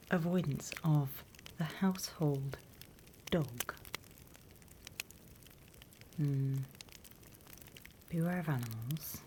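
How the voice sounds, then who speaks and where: A British woman playing a sly demon instructor in a whispered ASMR roleplay, unhurried, wry and smugly scornful of humans. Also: sits low, background noise, exaggerated voice. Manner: unhurried, hushed, teacherly, wry.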